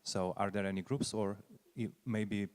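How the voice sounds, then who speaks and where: A man's voice: lowish voice, steady tone, moderate pace, darkish tone. A man from the audience carefully asking a question, searching for words. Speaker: audience member. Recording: long hall reverb.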